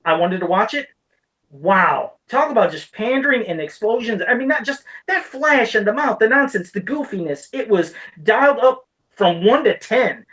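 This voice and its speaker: A woman's voice: a little high, animated, moderate pace, fairly bright tone, poor audio. Opinionated, rambling podcast host, a woman. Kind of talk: casual pop culture podcast chat.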